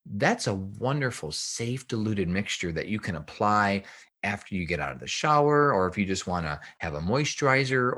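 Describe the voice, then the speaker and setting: Low-voiced man explaining earnestly. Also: fairly bright tone. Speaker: guest health researcher and author. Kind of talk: podcast interview, explanatory talk.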